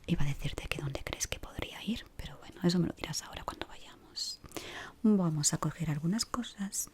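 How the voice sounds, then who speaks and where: Whispering Spanish woman, soft ASMR roleplay. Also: low voice. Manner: soft, unhurried, gently instructing. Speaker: ASMR performer playing a decorator guiding a newcomer.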